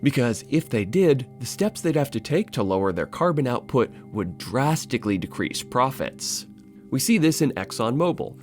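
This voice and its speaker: A man delivers scripted video essay narration with a very animated, rising and falling pitch. His manner is wry and informal, with dry casual asides. Faint music plays behind his voice.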